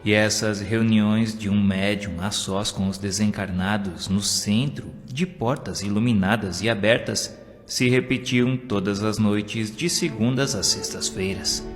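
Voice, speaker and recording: A Brazilian man narrates a scripted story in a fairly deep voice. His words carry a long hall reverb, and faint music plays behind him. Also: steady tone, measured pace. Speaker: audiobook narrator. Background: background music.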